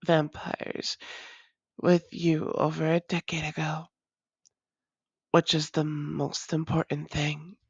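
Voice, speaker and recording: A woman playing a wry, doting female vampire lover in an intimate audio roleplay, speaking in a measured, formal way with teasing endearments. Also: low voice, husky, dry recording, full character voice. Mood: gentle, composed.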